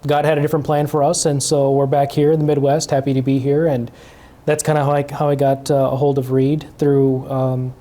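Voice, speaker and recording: Chicago-area guy, pain doc, laid back chatty talk, mellow voice. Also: mid-range voice, little pitch movement, fairly quick. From Chicago area, USA. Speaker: pain management doctor. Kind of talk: community talk, personal background.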